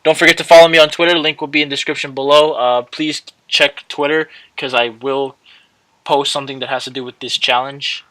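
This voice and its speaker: Bright-voiced young man rambling casually. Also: mid-range voice, fairly even intonation, fairly quick, dry recording, low-quality recording. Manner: hesitant, rambling, repeats and trails off. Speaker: gaming channel host. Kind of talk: casual rambling video outro.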